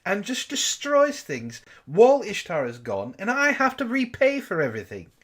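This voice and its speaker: A man's voice: high-pitched, huge pitch swings, moderate pace, a bit bright. A man bantering in a comedic book club chat, theatrical and mock-pompous, easily worked up. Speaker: book club co-host and reader.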